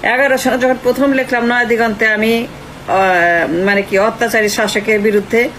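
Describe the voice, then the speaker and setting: High-voiced Bangladeshi woman on a noisy call. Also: steady tone, moderate pace, fairly bright tone. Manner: emphatic, repetitive, switches into English phrases. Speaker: writer and activist on a phone call. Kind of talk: earnest phone conversation. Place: phone call. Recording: noisy phone call recording.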